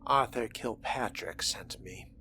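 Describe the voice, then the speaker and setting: A man voicing a refined, sardonic fledgling vampire in a video game roleplay, with a deliberate, archly formal manner. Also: on the low side, pushed and bright, a little hiss or hum. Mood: composed, dry. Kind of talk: in-character roleplay narration over a game.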